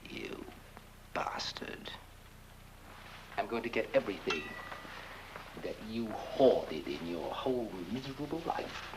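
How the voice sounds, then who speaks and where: An actor playing a scheming, bitter heir in a horror-comedy film, with an arch, drawling, mocking delivery. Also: male voice, lowish voice, sing-song, slow pace, pauses often, noisy background. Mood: bitter gloating. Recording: film soundtrack with loud music.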